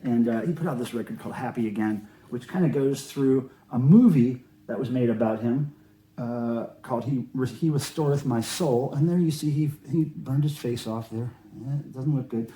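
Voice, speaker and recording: A man's voice: medium pitch, normal speed, dark tone, poor audio. A man talking casually and offhandedly as he shows off his record collection, rambling in a conversational way. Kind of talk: casual show-and-tell vlog about records.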